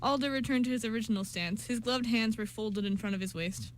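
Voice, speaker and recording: Female narrator, amateur radio drama: higher-pitched, reading from script, small stumbles, faint noise. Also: fairly quick, poor audio.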